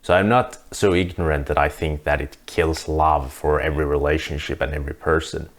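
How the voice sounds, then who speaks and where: A man's voice: rumbling low, steady tone, a little fast. A Finnish man speaking English as a second language, earnestly talking through his views to camera with frequent restarts. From Finland. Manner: earnest, thinking aloud with restarts. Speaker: vlogger. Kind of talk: casual monologue to camera.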